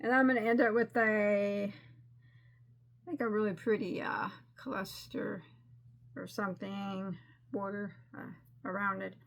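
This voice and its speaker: A woman's voice: mid-range voice, level tone, measured pace, gravelly, pauses often. A woman hosting a crochet channel, chatting casually and rambling, laughing at her own mistakes. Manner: rambling and self-deprecating, with frequent restarts and laughs. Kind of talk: casual chatty crafting update.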